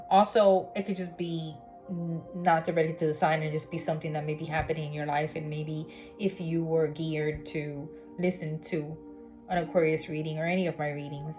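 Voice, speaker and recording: A low-pitched woman from Miami talks through a tarot reading in a loose, chatty way, often stopping to check in with her viewers. Music plays clearly behind her. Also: a little mellow. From Miami, United States. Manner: chatty, with many fillers and "okay?" check-ins. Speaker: tarot reader. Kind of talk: casual spiritual reading vlog. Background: background music.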